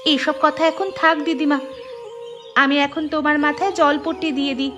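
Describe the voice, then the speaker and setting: Cartoon village girl, played by a woman: young, plucky, caring, very high voice, soft music behind. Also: little pitch movement, normal speed, muffled recording, close to an everyday voice. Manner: insistent, reassuring. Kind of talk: cartoon voice acting.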